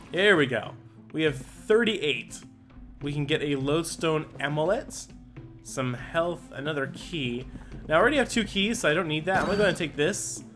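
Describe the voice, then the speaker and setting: A young man giving casual, excitable commentary while playing a video game, often exclaiming and stumbling over his words. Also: fairly high pitch. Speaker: gaming YouTuber. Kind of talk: casual let's play commentary. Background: game music.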